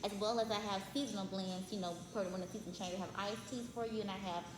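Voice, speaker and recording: A woman's voice: medium pitch, steady tone, fast talker, noisy background. A woman from Memphis, Tennessee with a light voice, a podcast guest explaining her herbal tea business in a friendly way. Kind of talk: casual podcast interview. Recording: echoey room with music behind.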